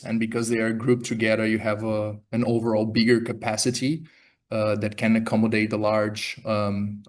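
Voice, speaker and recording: A man from Brazil with a Brazilian accent, giving an academic talk as a research fellow, relaxed, with many fillers and restarts. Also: lowish voice. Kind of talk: academic presentation. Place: lecture theatre.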